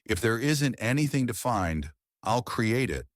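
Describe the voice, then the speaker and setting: A man's voice: mid-range voice, lively intonation, a little slow, no room sound, studio quality, pitched above the performer's own voice, lightly acted. Man voicing a scheming, jealous wealthy woman. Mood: vengeful, cold.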